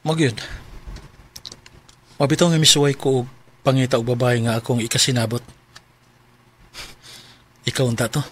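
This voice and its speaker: A man's voice: mid-range voice, normal speed, long pauses, fairly bright tone, a little hiss or hum, lightly acted. A man with a Cebuano Filipino accent playing an earnest separated father in his late thirties in a radio drama. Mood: earnest, tentative. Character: earnest 37-year-old separated father courting a younger woman.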